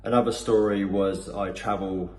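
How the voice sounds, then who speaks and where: A man's voice: on the low side, steady tone, moderate pace, a little mellow. A man from Cornwall with a Cornish accent, giving an unscripted customer testimonial, hesitant with many fillers and restarts. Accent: Cornish English. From Cornwall, England. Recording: echoey room with faint background noise.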